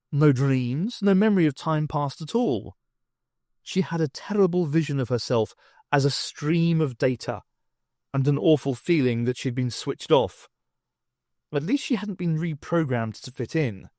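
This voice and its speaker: Lively male audiobook narrator. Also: fairly high pitch, no room sound, clean studio sound. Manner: expressive, wry storytelling. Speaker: audiobook reader.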